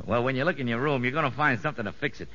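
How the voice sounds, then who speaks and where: An actor playing a boastful, streetwise convict safecracker in a 1950s radio drama, talking in ungrammatical slang dressed up with pompous fancy words. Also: male voice, mid-range voice, racing, sharp tone, lightly acted. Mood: sly, warm. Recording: dull vintage 1950s radio recording.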